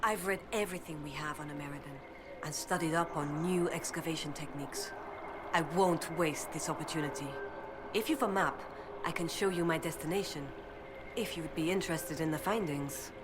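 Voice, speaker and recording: Low-voiced, earnest young elven scholar played by an actress. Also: female voice, normal speed, over music, natural voice. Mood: scholarly, determined. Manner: precise, scholarly, thoughtful delivery. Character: earnest young female elven scholar, determined research assistant. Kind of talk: video game voice acting.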